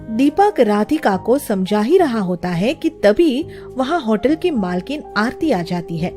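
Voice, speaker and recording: A woman's voice: fairly high pitch, big pitch swings, unhurried, dark tone, faint music behind. A woman narrating a scripted children's story as its storyteller.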